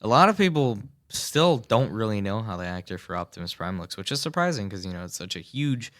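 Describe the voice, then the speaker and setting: A man's voice: lowish voice, very animated, brisk, a bit bright. A teenage boy, a student guest on a radio talk show, talking earnestly in a rambling way with many fillers and restarts in a small studio booth. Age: teens. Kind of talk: radio interview, earnest and chatty.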